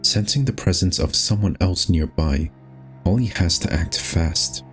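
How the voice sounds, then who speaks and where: A man's voice: deep voice, animated, unhurried, faint music behind. A man reading scripted, storybook-style narration for a horror game.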